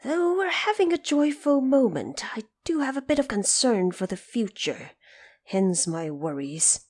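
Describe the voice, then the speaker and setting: A woman's voice: on the high side, animated, unhurried, a little mellow, pitched above the performer's own voice. A woman voicing a proud male monkey ruler in a storybook audio drama, earnest and regal in delivery. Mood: worried, solemn.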